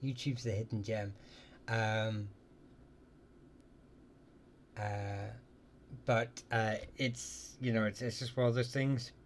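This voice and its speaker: A man hosting a live question-and-answer stream, talking casually and haltingly with many ums and restarts as he answers viewers. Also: fairly low pitch, fairly even intonation, a little hiss or hum.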